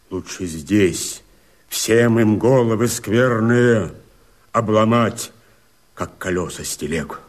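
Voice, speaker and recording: Slow, husky rebel follower. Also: male voice, low-pitched. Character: rough, bloodthirsty male rebel follower. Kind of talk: declaimed verse in a radio play.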